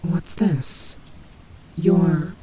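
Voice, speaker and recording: A woman's voice: sits low, dark tone, slight background noise, poor audio. A woman voicing a menacing, taunting nightmare animatronic rabbit in a scripted audio roleplay, with a mocking, theatrical delivery. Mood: flustered, incredulous. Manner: slow, mocking, theatrical drawl with whispered asides.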